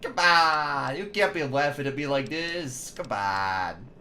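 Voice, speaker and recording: A man's voice: medium pitch, expressive, slow pace, sharp tone, slight background noise. A young man streaming a game in casual chat, rambling and swearing, laughing often, reading chat aloud and playing with a put-on accent. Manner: playfully tries out a put-on accent.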